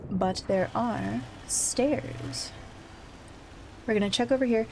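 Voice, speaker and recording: A woman's voice: fairly high pitch, slow, noisy background. A woman giving casual, chatty gameplay commentary, thinking aloud with playful little exclamations. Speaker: gamer streaming a blind play-through.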